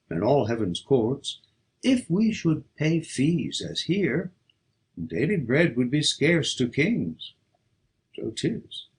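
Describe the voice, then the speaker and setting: A man's voice: mid-range voice, a little hiss or hum. A man reading poetry aloud as an audiobook, in a declamatory, theatrical manner. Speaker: audiobook reader. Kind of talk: dramatic poetry recitation.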